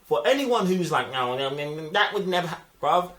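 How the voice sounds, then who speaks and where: A man's voice: a little high, sing-song, brisk, fairly bright tone. A man with a London accent co-hosting a film review in slangy, emphatic banter, often repeating himself to push his point. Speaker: film review podcast co-host. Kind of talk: animated casual banter, slangy. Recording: roomy, echoing sound.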